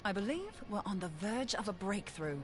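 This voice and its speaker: A woman's voice: mid-range voice, big pitch swings, moderate pace, natural voice. An actress playing an eager woman scholar in a video game cutscene, explaining things articulately. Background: game music.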